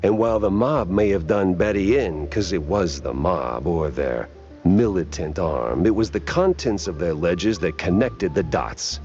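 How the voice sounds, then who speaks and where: An actor playing a world-weary, wisecracking cartoon private detective with a wry, laconic delivery. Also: male voice, low voice, darkish tone, lo-fi sound. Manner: wry, laconic, hesitant drawl. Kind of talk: 1930s hardboiled detective game acting.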